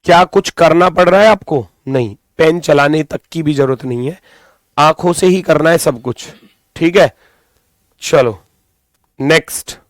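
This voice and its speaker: Male coaching teacher, higher-pitched, recovering throat, dry close sound, informal and directive, repeats key phrases. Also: a little slow, pauses often, dry recording. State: strained throat, recovering from lost voice. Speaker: reasoning teacher for exam coaching. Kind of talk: live class lesson, thinking aloud.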